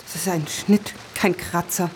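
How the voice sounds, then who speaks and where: A woman's voice: fairly low pitch, steady tone, unhurried, darkish tone, slight background noise, natural voice. Woman playing an urgent, resolute mother. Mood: commanding. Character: alarmed, resolute mother and wife. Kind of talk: German radio drama acting.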